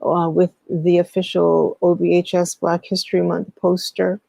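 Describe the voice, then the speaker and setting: A middle-aged woman tells her story as an interview guest, her fairly low voice edged with creak. She is earnest and deliberate, often pausing mid-thought, and the sound is close and dry. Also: little pitch movement, mellow, no room sound. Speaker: guest, historian and educator. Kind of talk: interview storytelling, recounting past work.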